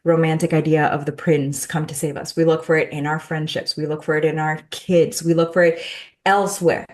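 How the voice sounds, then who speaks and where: A woman's voice: sits low, flat delivery, a little fast, a little mellow. Earnest woman with a Mexican accent. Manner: emphatic and repetitive, builds lists. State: tired. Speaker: guest, self-love mentor. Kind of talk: heartfelt interview talk.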